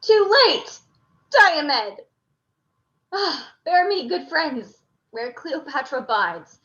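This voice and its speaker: A woman's voice: high-pitched, sing-song, very slow, fairly bright tone, dull audio, heavily acted. A woman playing a dying, noble warrior lord in a Shakespearean radio play. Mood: dying, tender.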